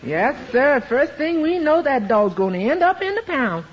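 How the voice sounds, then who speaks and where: A sensible, deferential housekeeper. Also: female voice, high-pitched, very animated, normal speed. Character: devoted, sensible woman housekeeper. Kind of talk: 1950s radio comedy acting. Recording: muffled old radio broadcast with faint hiss.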